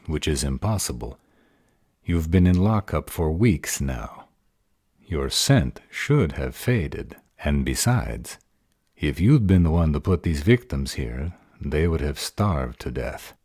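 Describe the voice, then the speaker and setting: Man acting as a very deep, haughty vampire. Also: a little mellow, dry recording, studio quality, exaggerated voice. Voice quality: husky, very deep voice. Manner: haughty, deliberate delivery. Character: arrogant, protective male vampire lover. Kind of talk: roleplay audio drama acting.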